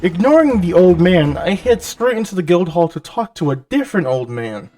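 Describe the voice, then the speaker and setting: A man with a cold and a nasal, slightly gravelly voice, giving chatty, self-deprecating voice-over commentary over gameplay. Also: high-pitched, big pitch swings, moderate pace, mellow, far from the mic, soft background music. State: has a cold. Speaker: gaming channel host.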